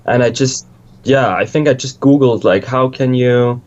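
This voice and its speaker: A man's voice: medium pitch, moderate pace, darkish tone, muffled recording. A young man from Switzerland, a music producer, chatting casually in an interview, rambling and swearing freely with lots of restarts.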